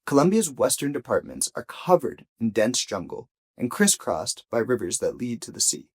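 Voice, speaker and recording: A man narrates a scripted investigative report in a mid-pitched, slightly rough and husky voice. His intonation moves in a lively way across each sentence. He phrases things deliberately, pausing to set off key points as a journalist would. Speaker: journalist reading own investigation. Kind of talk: scripted audio news narration.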